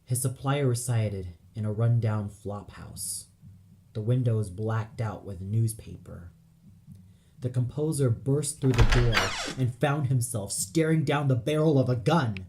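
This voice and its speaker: Guy reading a dark story slowly, solemn and dramatic, soft mellow voice, bit of music behind. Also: mid-range voice. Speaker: narrator. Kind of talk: dramatic scripted story narration.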